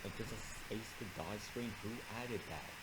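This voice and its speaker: A man voicing a menacing, boastful video game villain with a taunting, drawn-out delivery on a noisy home recording. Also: fairly low pitch, natural voice. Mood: challenging.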